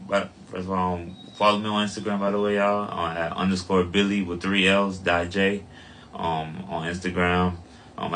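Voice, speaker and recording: A man's voice: deep voice, bright, forceful tone. A young man, a boxer, giving laid-back interview answers full of "you know what I'm saying". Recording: faint ambient noise.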